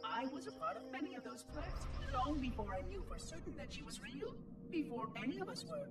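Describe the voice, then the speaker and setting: High-pitched, echoing, fussy machine monitor. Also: male voice, huge pitch swings, a little fast, full character voice. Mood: wistful, brooding. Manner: formal, precise, theatrical. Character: fussy, brooding machine monitor of an ancient installation. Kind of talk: scripted game cutscene monologue. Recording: echoing, distant, loud music behind.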